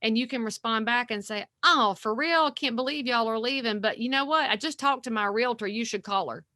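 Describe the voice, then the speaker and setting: A woman, talking as a guest realtor on a podcast, folksy and energetic. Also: a little high, a little fast, no room sound, studio quality. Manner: energetic, folksy, animated storytelling. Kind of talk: podcast interview.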